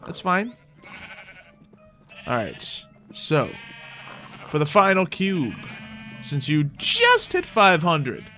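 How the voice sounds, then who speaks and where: A man's voice: on the high side, wildly swooping pitch, very slow, pauses often, bright, forceful tone, soft background music. A man giving casual, rambling live gameplay commentary as a streamer, playfully talking to himself and to the animals in the game.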